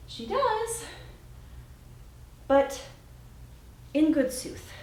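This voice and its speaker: Spirited, witty young stage heroine played by a woman, high and emphatic Shakespearean verse-style delivery, recorded far off in a warehouse. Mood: spirited mockery. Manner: animated, teasing, emphatic. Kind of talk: theatrical Shakespeare monologue. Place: friend's warehouse. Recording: echoey warehouse, distant mic.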